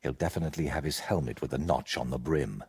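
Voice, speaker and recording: An actor playing a troubled, guilt-ridden older medieval painter in a video game, husky and earnest, as if confessing. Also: male voice, very deep voice, quick pace, darkish tone, exaggerated voice. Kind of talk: video game dialogue acting.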